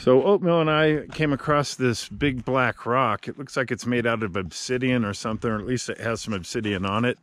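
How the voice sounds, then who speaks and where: A man's voice: mid-range voice, brisk, few pauses, a bit bright, slight background noise. A middle-aged man from California with an American accent, chatting casually to camera as a travel vlogger. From California, United States. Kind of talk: casual outdoor travel vlog, talking to camera and puppy. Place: outdoors in woods and desert, sometimes in a vehicle. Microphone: handheld action camera at arm's length.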